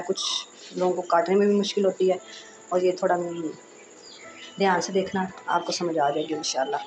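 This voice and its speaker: A woman hosting a sewing tutorial, speaking in a casual, reassuring way while she explains each step, with a hoarse voice from a sore throat. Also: fairly low pitch, dull audio, background noise. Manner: chatty and reassuring, frequent "accha ji".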